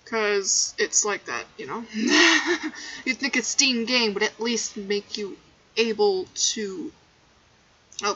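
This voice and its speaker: A woman's voice: mid-range voice, bright, forceful tone, breathy edge, lo-fi sound. A young woman chatting casually on a game livestream in a playful, goofy way, recorded in her bedroom. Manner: playful, goofy, breaks into song. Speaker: game streamer.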